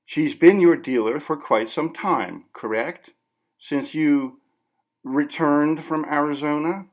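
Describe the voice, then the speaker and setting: A man's voice: on the high side, takes it slowly, voice pushed up. A man voicing a formal, persistent interviewer in an audiobook story, politely but firmly pressing an artist. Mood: measured.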